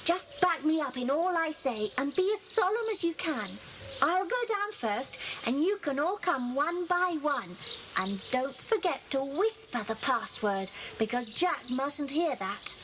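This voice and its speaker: Casting: cocky, scheming schoolgirl for children's audio drama; high, very animated, emphatic delivery, noisy tape. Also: a little slow. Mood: scheming, excited. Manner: boastful, emphatic, conspiratorial. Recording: noisy old cassette transfer.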